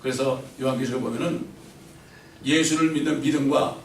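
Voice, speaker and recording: A man's voice: mid-range voice, fairly even intonation, a little fast, a little hiss or hum. Man preaching in an echoing church. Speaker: pastor. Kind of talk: sermon. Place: church sanctuary. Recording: roomy church echo from far off.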